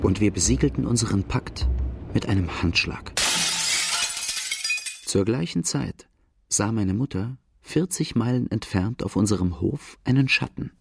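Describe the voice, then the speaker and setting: Brooding outlaw storyteller, very creaky low voice, grave, radio play. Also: male voice, expressive, measured pace, faint music behind, heavily acted. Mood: grave, haunted. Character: brooding young male Irish-Australian outlaw telling his life. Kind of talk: dramatic first-person radio drama narration.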